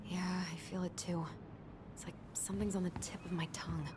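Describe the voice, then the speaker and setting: A woman's voice: fairly low pitch, little pitch movement, brisk, background noise, natural voice. An actress playing a skeptical, sardonic young woman in a naturalistic video game performance. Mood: sardonic, frustrated. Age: young adult.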